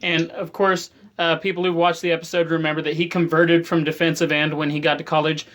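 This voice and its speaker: A man's voice: fairly high pitch, little pitch movement, brisk, fairly bright tone. A man hosting a sports interview show, speaking in an upbeat, enthusiastic way. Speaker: host.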